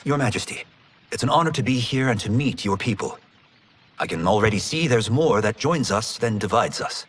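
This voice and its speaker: A man's voice: fairly low pitch, lively intonation, normal speed, a little hiss or hum, close to an everyday voice. A man playing a polite, earnest young farmer turned champion fighter in a video game, speaking respectfully. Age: young adult. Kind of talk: dramatic video game acting.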